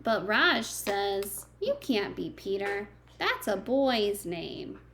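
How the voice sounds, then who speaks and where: A woman's voice: mid-range voice, big pitch swings, slow, a bit bright, a little hiss or hum. A woman reading a picture book aloud to young children like a teacher, expressively, with simple chatty asides and questions to her listeners.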